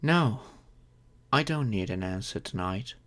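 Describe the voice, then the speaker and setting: Man as a slow-spoken, expressive gentle vampire. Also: fairly low pitch. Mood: hesitant, hopeful. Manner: gentle, reassuring, unhurried with thoughtful pauses. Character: courteous, centuries-old wealthy male vampire, gentle and earnest. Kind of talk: scripted audio roleplay, addressing the listener.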